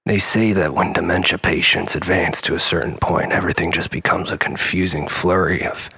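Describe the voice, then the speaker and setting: A man's voice: low-pitched, fairly even intonation, a little fast, creaky, pushed and bright, husky. A man delivering a solemn, theatrical, darkly comic monologue in a brooding style.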